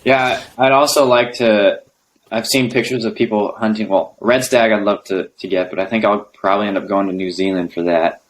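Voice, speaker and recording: A young man speaking as a podcast guest, relaxed and hesitant, with many ums. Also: fairly low pitch, normal speed, rough recording. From United States. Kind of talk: casual interview conversation.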